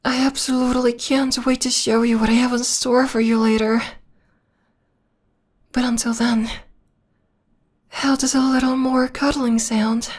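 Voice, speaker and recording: A woman playing a possessive, obsessed young vampire lover in an ASMR romantic roleplay, speaking softly and intimately, often in a breathy whisper. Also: on the high side. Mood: obsessed, sweet, then coaxing. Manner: slow, intimate, sweetly controlling.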